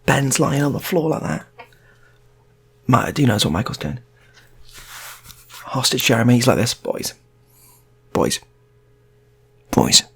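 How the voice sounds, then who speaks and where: A man's voice: medium pitch, animated, moderate pace, gravelly, pauses often, husky. A man telling an improvised story in a casual, rambling way, often repeating his phrases.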